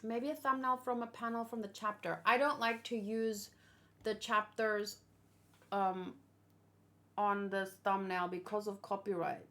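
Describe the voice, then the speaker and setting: A woman's voice: medium pitch, steady tone, unhurried, pushed and bright. A woman chatting casually and unscripted, thinking aloud and asking questions as she hosts a reaction video. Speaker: manga reaction host.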